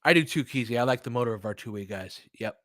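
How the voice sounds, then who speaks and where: A man's voice: medium pitch, fast, a bit bright, no room sound, clean studio sound. A man hosting a live sports stream, chatting casually and informally, thinking aloud as he gives his commentary. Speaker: sports podcast host. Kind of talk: casual live basketball commentary, replying to chat.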